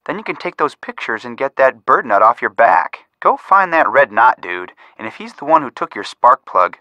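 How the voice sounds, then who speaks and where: A very crisp-edged, bright young American man. His pitch moves in a lively way as he hands out hints, wry and conversational. The sound is dry, with no room on it. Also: moderate pace, no room sound, dull audio. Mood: upbeat, teasing. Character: upbeat young male amateur detective giving helpful hints. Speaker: detective helper giving hints. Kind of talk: scripted video game voice acting.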